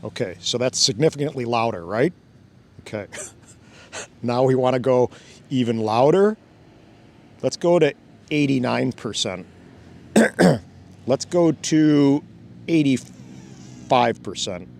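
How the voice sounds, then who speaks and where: A man's voice: medium pitch, expressive, slow, gravelly, long pauses, darkish tone, a little hiss or hum. A man hosting a casual hands-on demo outdoors by a fountain, thinking aloud and laughing often as he goes. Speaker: drone channel host. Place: outdoors by a fountain, cold weather.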